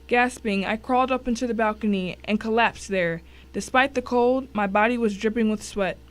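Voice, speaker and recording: A woman's voice: fairly high pitch, normal speed. A woman reading a scripted first-person thriller as narrator, telling it with drama and suspense. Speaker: story narrator.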